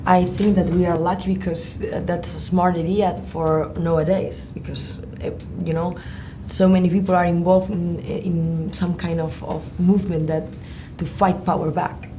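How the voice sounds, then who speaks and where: Spanish-accented actress, low flat slow voice, hesitant guest. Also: female voice, background noise. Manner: hesitant, searching for words, many restarts. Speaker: actor, interview guest. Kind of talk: halting interview answers.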